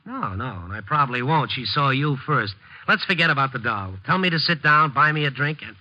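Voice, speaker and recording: An actor playing a sardonic, hard-boiled newspaper reporter who narrates and wisecracks drily in a 1950s radio drama. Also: male voice, mid-range voice, expressive, a little fast, sharp tone. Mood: wry, needling. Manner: dry wisecracking delivery. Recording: muffled old 1950s radio recording.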